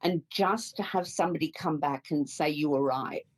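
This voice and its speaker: An Australian woman speaks as a guest on a podcast call. Her voice is notably low for a woman, and she answers in a calm, measured manner. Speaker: psychic medium guest. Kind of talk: interview answers.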